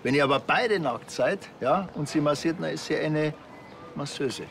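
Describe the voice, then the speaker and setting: An actor playing a dry, skeptical, sardonic small-town policeman with a deadpan manner and a Bavarian accent. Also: fairly high pitch, normal speed, gravelly. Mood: dry, teasing.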